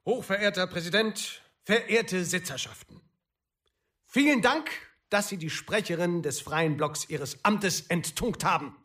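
An actor playing an authority chief who delivers a formal, rhetorical, emphatic political speech in a radio play. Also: male voice, high-pitched, animated, measured pace, bright, forceful tone, exaggerated voice. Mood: official, emphatic. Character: smooth-talking male authority chief addressing an assembly.

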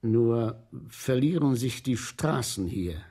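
An actor playing a polite, matter-of-fact sewer inspector in a German radio play, giving short explanatory answers. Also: male voice, mid-range voice, lively intonation, slow, dark tone, slightly rough, heavily acted. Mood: courteous.

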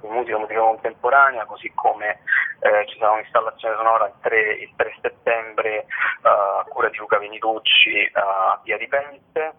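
A man speaks over a thin, phone-quality line in a breathy, slightly rough voice. He answers as an interview guest, informally and haltingly, often repeating words as he searches for the next one. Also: fairly low pitch, fairly even intonation. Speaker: interview guest, festival participant and writer. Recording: narrow, muffled telephone line.

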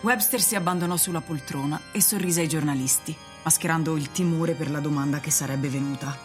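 Lady reading a radio story, lower voice, measured, bit of music behind. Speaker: narrator. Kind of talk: scripted literary radio narration.

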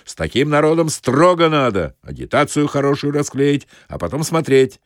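A man's voice: on the high side, very animated, moderate pace, no room sound, studio quality, pitched above the performer's own voice. A man voicing a stern, officious soldierly man with a strict, hectoring manner, in an audiobook.

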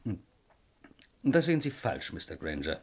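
An actor playing a pompous, self-assured cavalry captain with flowery courtesy in a German radio play. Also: male voice, low voice, sing-song, moderate pace, creaky, a little mellow, husky, full character voice. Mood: haughty.

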